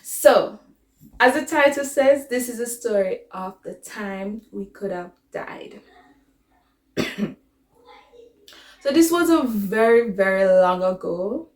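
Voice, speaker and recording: A woman's voice: medium pitch, animated, takes it slowly, pauses often, slight background noise. A young woman with a Caribbean accent chats casually to her viewers as a vlogger, telling a story in an informal, digressive way. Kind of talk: casual storytime chat to camera. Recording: echoey, roomy sound.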